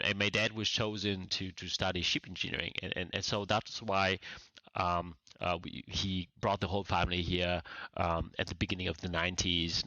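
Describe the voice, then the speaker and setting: A man from Vietnam in his forties, a startup founder as a podcast guest, talking casually and haltingly with many restarts. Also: low-pitched, bright, forceful tone, no room sound. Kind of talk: casual interview talk. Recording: dry, dull lo-fi sound.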